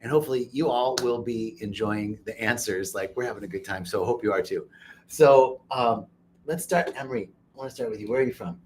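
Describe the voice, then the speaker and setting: A man's voice: lowish voice, a little fast, darkish tone, slight background noise. Upbeat joking man hosting an interview. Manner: upbeat and joking, laughs often. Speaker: host. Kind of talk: casual interview. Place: retreat center in Costa Rica.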